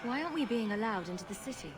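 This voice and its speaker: A woman's voice: on the high side, expressive, rapid-fire, natural voice. A woman playing a composed, direct young refugee adventurer in a fantasy video game. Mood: inquiring.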